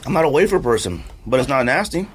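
A man's voice: medium pitch, very animated, fast talker, a little hiss or hum. A man bantering casually as a podcast co-host over snacks, in a slangy, blunt and emphatic way. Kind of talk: casual banter while taste-testing snacks.

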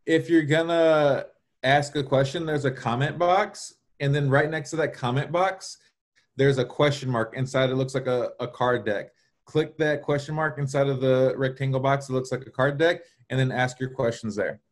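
Male podcast guest, middle pitch, easygoing delivery that walks through instructions. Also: moderate pace. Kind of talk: casual conversation.

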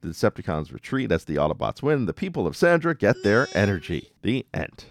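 Low-voiced man recapping with wry asides. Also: sing-song, a little fast, slight background noise. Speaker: podcast host. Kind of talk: casual episode recap.

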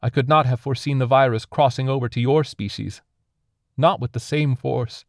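A man's voice: medium pitch, steady tone, normal speed, gravelly, no room sound, clean studio sound, pitched above the performer's own voice, close to an everyday voice. A man voicing a wounded, grief-stricken alien envoy who pleads in formal, solemn speech for an audiobook-style story. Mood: sorrowful, resigned.

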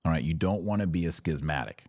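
American guy doing a religious podcast, low voice, really dry sound, talks casual but emphatic like he's teaching. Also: animated, quick pace, a little mellow, no room sound. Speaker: podcast host. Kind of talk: casual religious commentary, answering listener comments.

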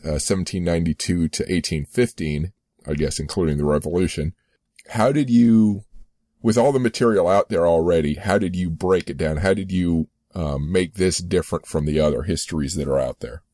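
Man, podcast host, low dark voice, dry close mic, measured questions. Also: no room sound. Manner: measured, scripted intro. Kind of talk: podcast interview.

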